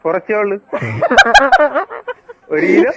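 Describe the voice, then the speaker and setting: A man's voice: high voice, wildly swooping pitch, vocal fry, heavy background noise. A man with a Kerala Malayalam accent chatting casually on the phone, puzzled and hesitant in his answers. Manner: slow to catch on, puzzled, hesitant. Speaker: friend on the receiving end of a call. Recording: dull lo-fi phone call sound.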